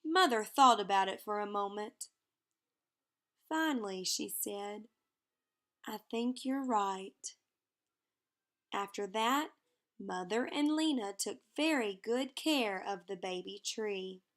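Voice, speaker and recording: A woman's voice: medium pitch, expressive, a little slow, a bit bright. A woman narrating a children's picture book as a read-aloud. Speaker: storybook reader.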